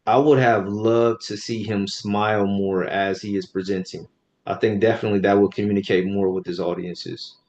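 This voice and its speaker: A low-pitched man gives blunt, informal feedback on a speech. His voice comes through dull, lo-fi call audio. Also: fairly even intonation, normal speed, a little mellow. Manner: direct, informal, repeats words while thinking. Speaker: podcast co-host giving speech evaluation. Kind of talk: casual evaluative discussion.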